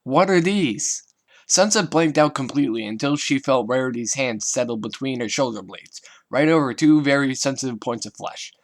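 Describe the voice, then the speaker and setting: A man's voice: medium pitch, a little fast, few pauses. A man reads fan fiction aloud in a mid-range voice. He narrates a scripted story as an amateur reader.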